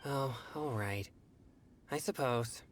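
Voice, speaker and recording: Very low-voiced, put-upon youngster. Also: female voice, takes it slowly, noisy background. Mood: resigned, grumbling. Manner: grumbling, resigned. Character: reluctant, put-upon young friend, grumbling. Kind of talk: video game cutscene acting.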